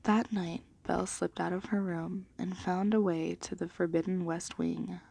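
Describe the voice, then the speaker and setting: A woman reading a children's storybook in a gentle, hushed ASMR style, dropping into whispers. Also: lowish voice, steady tone, normal speed, gravelly, breathy edge. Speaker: ASMR reader.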